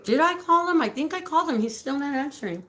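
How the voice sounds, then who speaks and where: A woman with a raspy voice chatting casually on a live stream, greeting viewers by name, giggling often and slipping Spanish words into her talk. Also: sits high, lively intonation, quick pace, a bit bright. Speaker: livestream host reading viewer comments.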